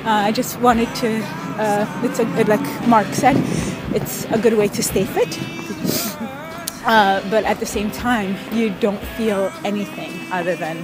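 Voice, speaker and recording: A woman in her thirties from Ethiopia, telling stories in a casual interview with thoughtful pauses and soft laughs. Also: mid-range voice, fairly even intonation, loud music behind the voice, poor audio. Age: 30s. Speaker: interviewee, pickup soccer player.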